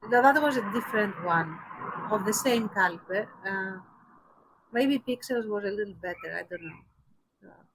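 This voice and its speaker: Woman, mid-range pitch, casual podcast chat, dry and understated. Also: normal speed, noisy background. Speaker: podcast co-host.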